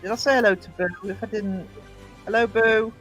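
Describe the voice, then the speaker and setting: High-voiced woman, coughing from hay fever. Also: fairly even intonation, a little fast, soft background music, poor audio. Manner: chatty, greets chat by name, lots of fillers and restarts. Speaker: live stream host. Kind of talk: casual live stream chat. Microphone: desk mic. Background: quiet self-made tune playing.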